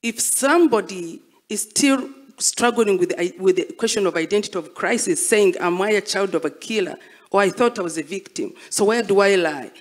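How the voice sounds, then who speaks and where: A woman's voice: mid-range voice, moderate pace. Female artist interviewee, reflective storyteller, emphatic with repeated phrases. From Rwandan, born and raised as a refugee abroad.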